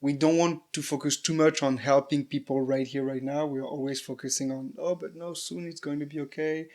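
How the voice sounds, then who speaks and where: Hesitant co-host from Congo, higher voice. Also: male voice, steady tone, brisk, a little mellow. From Republic of the Congo. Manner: hesitant, many fillers and restarts while forming questions. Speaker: interview co-host. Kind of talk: casual interview chat.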